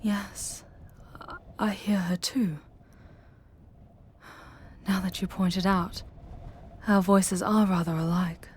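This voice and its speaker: A woman voicing an ancient, proud, wounded female demon who guides a traveler through hell in an ASMR roleplay, speaking softly and formally in a breathy, solemn, deliberate way. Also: medium pitch, fairly even intonation, a little hiss or hum, natural voice. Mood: resolute. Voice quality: soft, breathy, husky.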